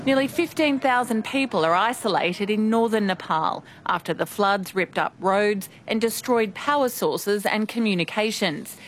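A woman reporting the news in a measured, scripted delivery. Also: mid-range voice, a little hiss or hum.

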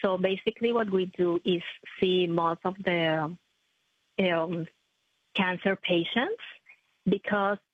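A woman, a prosthetist answering interview questions in a halting, self-correcting way. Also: fairly low pitch, slightly rough, no room sound. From Ecuador. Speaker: maxillofacial prosthetist, guest. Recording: tinny phone-like line, dry.

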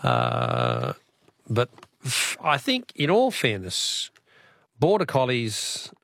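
A man's voice: mid-range voice, wildly swooping pitch, slow, creaky, fairly bright tone, no room sound, clean studio sound. An Australian man hosting a live phone-in radio quiz, chatting with callers in a jokey, bantering way.

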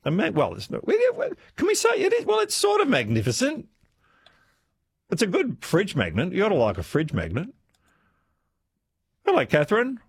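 A man hosting a talkback radio quiz, chatty and playful and quick to laugh, taking callers in a studio. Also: high-pitched, huge pitch swings, vocal fry, slight background noise. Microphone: studio microphone.

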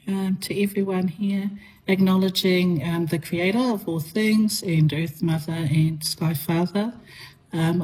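A middle-aged woman from New Zealand, a teacher, answering radio interview questions in a measured way with frequent ums and uhs. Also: fairly low pitch, a little mellow, rough recording.

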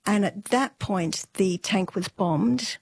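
A woman's voice: mid-range voice, slow, gravelly, darkish tone, husky, no room sound. Woman interviewee, dry close sound. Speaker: interview guest. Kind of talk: conversational family storytelling.

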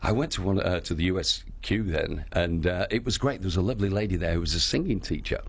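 A man who works as a town crier, chatting in an interview, talkative and quick to laugh. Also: deep voice, creaky, slightly rough. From Australia. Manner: chatty, laughs easily, acts out quoted voices. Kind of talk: casual interview chat.

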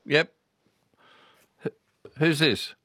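A man's voice: on the high side, very slow, bright, forceful tone, dry recording. A man with an Australian accent hosting a radio program, chatting casually and reading out listener messages. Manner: chatty, rambling, reads listener messages aloud. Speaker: radio host.

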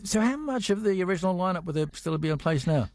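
A man hosting a casual radio interview, chatty with quick affirmations. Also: high voice, animated, darkish tone, no room sound. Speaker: radio host.

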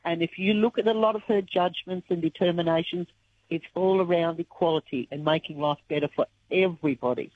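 A woman from Australia, croaky-voiced and earnest, talking emotionally in a radio interview. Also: on the low side, a little fast, a little hiss or hum. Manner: earnest, emotional when recalling the past. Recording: tinny phone line.

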